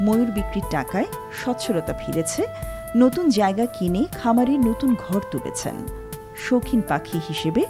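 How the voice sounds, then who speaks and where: Bangladeshi woman narrating, lively pitch over music. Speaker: news feature narrator. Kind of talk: scripted narration. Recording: prominent background music.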